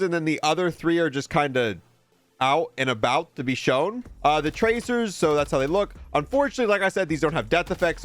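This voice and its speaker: A man's voice: on the high side, no echo. A man giving casual commentary as he reviews video game content. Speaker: gaming video creator reviewing a game bundle.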